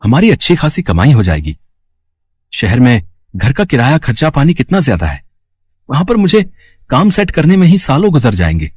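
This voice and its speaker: A man voicing an earnest young married son with a husky voice, placating and persuasive, in a cartoon moral story. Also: medium pitch, big pitch swings, moderate pace, long pauses, darkish tone.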